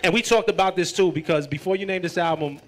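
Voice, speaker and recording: A man's voice: high-pitched, fast. An American man casually hosting a hip-hop interview, talking in an emphatic, hyped way and repeating himself for effect. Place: live event before an audience.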